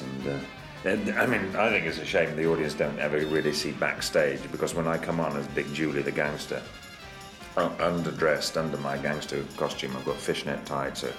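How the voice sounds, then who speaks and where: Very deep, flat-voiced man. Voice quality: bass voice. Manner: chatty, with hesitant fillers. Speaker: actor recalling a stage role. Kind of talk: relaxed interview recollection.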